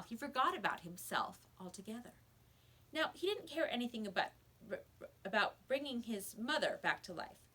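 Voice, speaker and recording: A woman narrates a children's book aloud in an expressive teacher's style. Her voice is bright, with lively, wide-ranging intonation at a medium pitch. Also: slightly rough.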